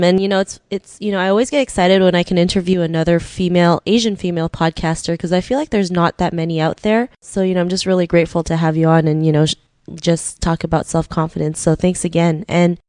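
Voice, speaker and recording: A woman's voice: fairly low pitch, steady tone, fast talker, darkish tone, no echo, clean studio sound. A woman hosting an interview podcast, talking conversationally with frequent "you know" filler phrases. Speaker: podcast host.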